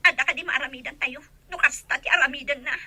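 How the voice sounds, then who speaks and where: A woman's voice: sits high, quick pace, bright, forceful tone, no echo, heavily acted. A woman playing a headstrong, emotional young woman in an Ilocano audio drama. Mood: defiant. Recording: music under the voice.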